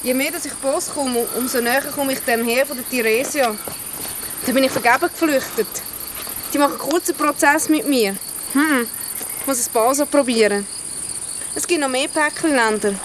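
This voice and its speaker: A woman's voice: high voice, normal speed, background noise, natural voice. A woman voicing a brave, wary fugitive creature in a children's radio play, with a Swiss German accent. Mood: cautious, explaining.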